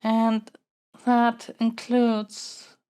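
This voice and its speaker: A woman's voice: on the high side, completely flat delivery, mellow, smooth. A woman playing an earnest, flustered young princess in an intimate audio roleplay, stammering and hesitating as she pleads. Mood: hurt, resigned. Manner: halting, hesitant, stammering delivery. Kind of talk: audio roleplay acting, intimate monologue to the listener.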